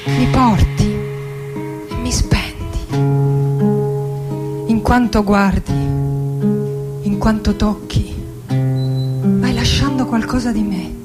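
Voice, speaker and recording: An actress playing an anguished, lovesick poet in her forties in a theatrical radio monologue, intimate and dramatic, with long pauses. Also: medium pitch, lively intonation. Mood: intimate, sorrowful longing. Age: 40s. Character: anguished, lovesick 46-year-old Argentine woman poet facing death. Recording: music behind the voice. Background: acoustic guitar music.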